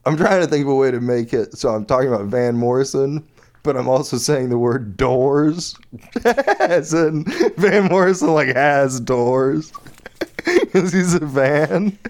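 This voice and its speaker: A man's voice: mid-range voice, measured pace, darkish tone. A man in casual late-night comedic chat, riffing jokes and laughing often. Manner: giggly, riffing, frequent laughter.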